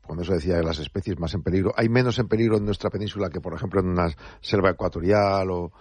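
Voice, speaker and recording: A man hosting a radio show, asking questions in a quick, chatty way. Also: deep voice, darkish tone, breathy edge. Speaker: radio host. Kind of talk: casual radio chat.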